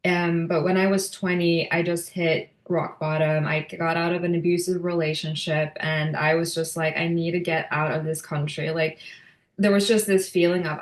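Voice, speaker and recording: Low-voiced woman in an echoey room. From Norway. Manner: earnest storytelling. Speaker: guest. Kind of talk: podcast interview.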